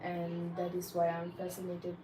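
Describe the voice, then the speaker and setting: Young woman, soft-spoken applicant type, hesitant and polite, slightly low voice, caught from across a room. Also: completely flat delivery, moderate pace, reverberant, distant mic, background noise. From Nepal. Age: young adult. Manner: hesitant, polite, many fillers. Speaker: visa applicant in a mock interview. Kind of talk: practice visa interview answers.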